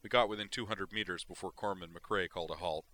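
A man's voice: low-pitched, fairly even intonation, fast, bright, forceful tone. A man voicing a burly, grizzled veteran male Marine sergeant with a wry, blunt military way of talking.

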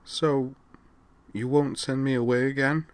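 A man's voice: mid-range voice, steady tone, a little slow, no echo, voice pushed up, lightly acted. A man voicing a fiery, distraught young woman who is fiercely loyal, in an emotional, vehement audiobook performance. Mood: small, pleading. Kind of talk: audiobook character voice.

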